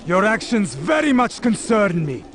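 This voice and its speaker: A scornful bureau leader from a video game, in a fairly high male voice. He is curt, grudging and sardonic, with faint noise behind him. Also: sing-song, measured pace, a bit bright, full character voice. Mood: bitter, scornful.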